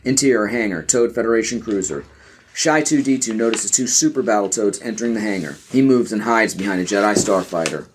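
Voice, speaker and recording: A man's voice: fairly low pitch, fairly quick, a little hiss or hum. A man doing scripted narration for a podcast audio play, reading out stage directions.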